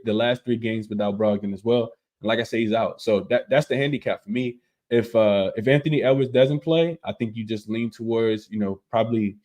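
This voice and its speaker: A man's voice: medium pitch, a little mellow. A man who is losing his voice, hosting a casual sports betting show, talking through his picks with many fillers and repeated words. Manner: filler-heavy, repeats words, quick asides. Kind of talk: casual picks analysis to viewers.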